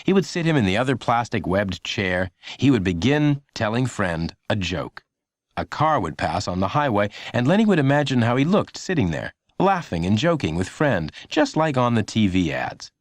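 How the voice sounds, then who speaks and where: A man's voice: fairly low pitch, big pitch swings, moderate pace, no room sound, studio quality. A man reading a children's audiobook as a scripted story narrator.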